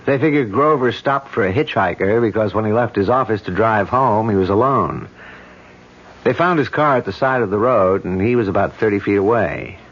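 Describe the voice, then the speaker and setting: An actor playing a confident, affectionate, wry police detective husband in a 1970s radio drama. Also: male voice, lowish voice, animated, normal speed. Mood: businesslike, wry. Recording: dull vintage radio broadcast.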